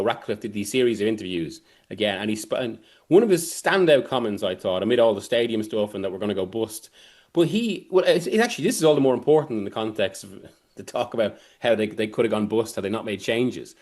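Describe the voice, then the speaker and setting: A man's voice: lowish voice, a little mellow. A man giving football analysis as a guest journalist, rambling and often interrupting himself as he works through a point. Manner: halting, rambling, self-interrupting delivery. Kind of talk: sports discussion, analysis.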